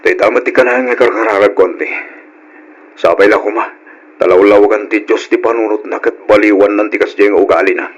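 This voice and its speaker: A man's voice: fairly low pitch, fairly even intonation, brisk, close to an everyday voice. A man playing a worried, responsible older brother with an Ilocano accent in a radio drama, earnest and admonishing. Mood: troubled, apologetic. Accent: Filipino, Ilocano.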